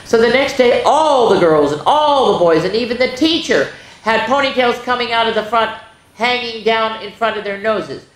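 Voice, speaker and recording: Expressive woman storyteller in an echoey room. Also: fairly high pitch, normal speed. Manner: expressive, exclaiming asides to listeners. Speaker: children's story time host. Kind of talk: reading a picture book aloud to children, with asides. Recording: roomy, echoing indoor sound.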